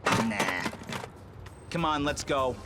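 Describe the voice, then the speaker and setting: An actor playing a brash, loyal teenage mutant turtle fighter in a cartoon game, casual and punchy, over music. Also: male voice, mid-range voice, lively intonation, very slow. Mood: wry. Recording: loud music behind.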